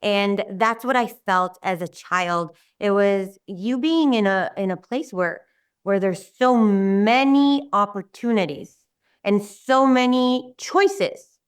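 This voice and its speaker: A middle-aged woman with an Israeli accent, speaking English as her second language, heard slightly away from the mic. Her voice is smooth and resonant. She rambles warmly, often restarting her sentences. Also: mid-range voice, takes it slowly, distant mic. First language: Hebrew. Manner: rambling, warm, with many restarts and fillers. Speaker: guest. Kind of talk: casual interview talk.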